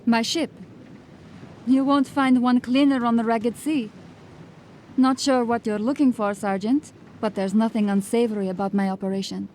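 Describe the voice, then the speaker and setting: A woman playing a cocky, smooth-talking female ship captain who is breezy and evasive with the law. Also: on the high side, fairly even intonation, moderate pace, slight background noise, close to an everyday voice. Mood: sly. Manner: breezy, evasive, gently mocking.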